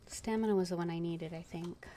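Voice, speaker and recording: Casting: female game streamer, lowish voice, casual and murmury, faint game noise behind. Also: fairly even intonation, brisk, warm tone. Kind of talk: casual live gameplay commentary.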